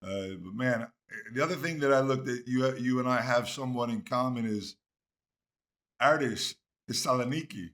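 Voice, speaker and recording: A middle-aged man who is a former player, hosting a casual interview in a relaxed, chatty way. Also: medium pitch, moderate pace. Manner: relaxed, chatty, laughs easily.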